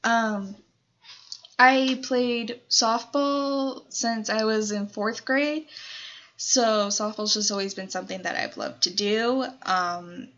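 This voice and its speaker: A woman's voice: mid-range voice, fairly bright tone, lo-fi sound. A nineteen-year-old woman from Colorado, chatting casually in a Q&A vlog, rambling with lots of fillers. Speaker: YouTuber.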